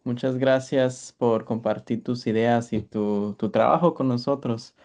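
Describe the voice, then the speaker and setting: Mellow-voiced male moderator. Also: medium pitch, fairly even intonation, measured pace. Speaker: session moderator. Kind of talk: moderating a talk.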